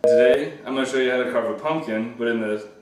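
A man's voice: mid-range voice, fast talker, echoey room, background noise. A man in his thirties hosting a home how-to video in a casual, jokey way, sometimes stumbling over his lines. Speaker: host of a woodworking channel. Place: home kitchen and backyard. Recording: distant mic with music underneath.

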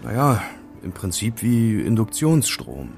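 A young hacker in a thriller radio play. He has a lowish voice and a sheepish, self-pitying, expressive way of talking, with music underneath. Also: a little slow, creaky, heavily acted. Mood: earnest. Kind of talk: audio drama dialogue.

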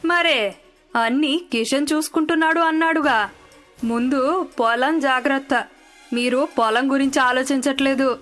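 A woman's voice: high-pitched, unhurried, long pauses, a bit bright. A woman voicing a nagging, land-greedy city wife, insistent and prodding, in a story. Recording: music under the voice.

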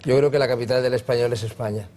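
A man's voice: mid-range voice, fairly even intonation, dark tone. A middle-aged man, a panel guest from southern Spain, making his point emphatically and with repeated phrases in a TV studio debate. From Granada, Spain. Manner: fast, emphatic, repetitive phrasing. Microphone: lapel.

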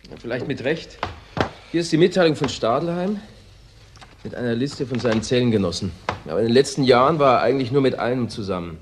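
An actor playing a dutiful assistant detective in a crime drama, reporting to a colleague in a matter-of-fact, informal way. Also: male voice, mid-range voice, animated, fast talker, slight background noise, lightly acted. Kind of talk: German TV crime drama acting.

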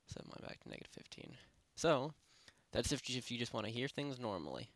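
A man narrating a software tutorial in a casual, unscripted, rambling way, speaking informally with lots of filler phrases. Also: mid-range voice, sing-song, brisk, dry recording. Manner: rambling, informal, repeats "basically" and "you know". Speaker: tutorial presenter. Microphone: close headset microphone.

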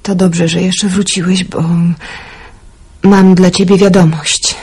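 Very breathy, tender young woman. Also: fairly low pitch, flat delivery, slow, a little mellow, exaggerated voice. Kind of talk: Polish radio drama acting.

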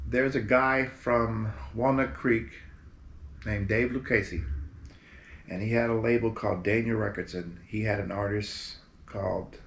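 Gravelly-voiced man telling old stories. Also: fairly low pitch. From Berkeley, California. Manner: relaxed, animated storyteller with lively quoted voices. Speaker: musician guest. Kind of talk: casual storytelling interview. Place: room in front of a green screen, seated in an armchair. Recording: roomy echo with faint background hiss.

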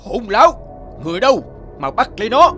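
A sly, blustering chancellor, the villain of a cartoon fairy tale. His voice is highish and swoops about animatedly over background music. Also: very animated, fairly quick, sharp tone, full character voice. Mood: outraged.

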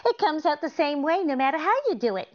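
A woman's voice: high voice, expressive, quick pace, dull audio. A middle-aged woman playing a sweet, scatterbrained comedian wife in a 1940s radio comedy, with innocent, earnest literal-mindedness. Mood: innocent, puzzled.

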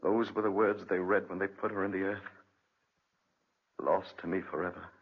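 A muffled old radio drama recording carries a low, husky male voice. a brooding avenger driven mad by grief, speaking with grave, theatrical intensity. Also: flat delivery, moderate pace. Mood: mournful. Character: vengeful, grief-maddened man, escaped debtor turned obsessive avenger. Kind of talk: 1940s radio drama acting.

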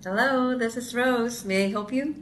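A woman's voice: on the high side, moderate pace, slight background noise, natural voice. A middle-aged woman playing a polite real estate agent in a comedy sketch.

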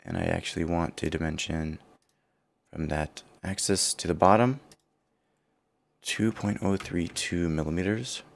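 A man with a partly lost, rough voice walks through a computer design tutorial, thinking aloud and correcting himself as he goes. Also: low voice, measured pace, long pauses. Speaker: CAD tutorial instructor. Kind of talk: screen-recorded software walkthrough.

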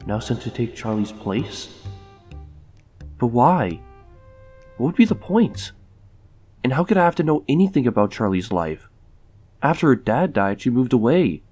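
A man acts out an anxious young man from a comic drama, brooding and wry. His mid-pitched voice rings with long reverb over music, as if he is thinking aloud and suspicious of everyone. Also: darkish tone, natural voice. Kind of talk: comic dub acting with inner-monologue narration.

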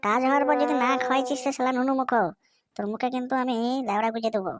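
A woman's voice: high-pitched, racing, over a noisy crowd, close to an everyday voice. A woman voicing a brash, cocky young man in a comic cartoon. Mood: threatening.

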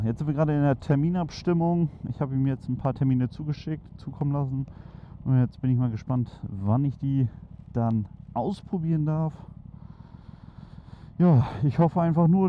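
A man talks loosely and eagerly while riding a motorcycle through city traffic, dropping plenty of fillers. His voice comes through a dull, muffled helmet headset. Wind, engine and street traffic roar clearly behind him. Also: mid-range voice. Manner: chatty, enthusiastic, many fillers. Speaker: motovlog host. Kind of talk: casual chat while riding.

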